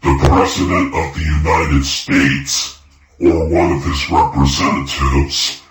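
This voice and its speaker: A man's voice: a bass voice, flat delivery, slow pace, background noise. A man voicing an official emergency alert announcer, reading a scripted broadcast notice in a roomy, echoing sound. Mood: solemn, official. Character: emergency alert system announcer, flat official automated male voice.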